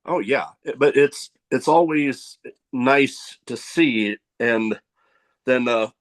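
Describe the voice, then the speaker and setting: A male podcast host speaks slowly at an ordinary pitch. He is agreeable and hesitant, pausing often and filling gaps as he builds his questions. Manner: hesitant, agreeable, many pauses and fillers. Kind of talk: podcast interview.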